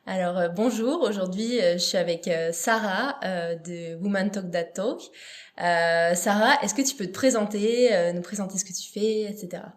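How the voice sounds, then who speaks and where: Podcast host, woman, calm and a little hesitant, over soft background music. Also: medium pitch, normal speed. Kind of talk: podcast intro and interview. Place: Montreal. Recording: faint music behind.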